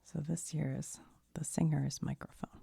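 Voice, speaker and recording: A woman speaks very softly in a low, heavily creaky voice with a breathy, husky edge. She rambles conversationally like an ASMR host, haltingly and with self-deprecating asides. The sound is close and dry. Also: fairly even intonation, no echo. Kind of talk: soft-spoken ASMR, rambling casual explanation.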